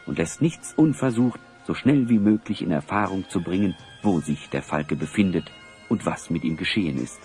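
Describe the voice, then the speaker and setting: A man's voice: fairly low pitch, darkish tone. A man narrating a fantasy audio play in a scripted storybook style.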